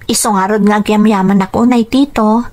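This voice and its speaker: A woman playing a grateful, earnest high school girl in an Ilocano radio drama, with an Ilocano accent and polite delivery. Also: fairly even intonation, a little fast, a little mellow, natural voice.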